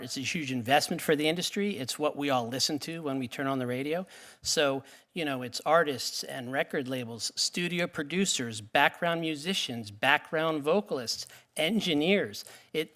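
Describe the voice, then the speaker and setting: A man giving formal testimony at a hearing as an industry witness, explaining earnestly in a slightly halting way. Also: on the high side, sharp tone. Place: legislative hearing room.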